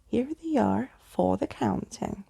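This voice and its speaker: A woman's voice: mid-range voice, animated, takes it slowly, vocal fry, mellow, slightly rough, full character voice. A woman voicing a pompous, cheerful male living skeleton in a children's storybook, theatrical and boastful. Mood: proud, oblivious. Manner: theatrical, boastful, uses whimsical invented words.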